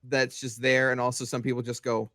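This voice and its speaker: A man's voice: medium pitch, little pitch movement, a little fast, fairly bright tone, a little hiss or hum. A man co-hosting a casual group podcast in a conversational way. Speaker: podcast co-host.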